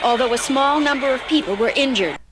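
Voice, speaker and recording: An actress playing a brisk, urgent female television reporter in a dramatised news report. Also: high voice, natural voice. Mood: urgent, indignant. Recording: loud street and vehicle noise.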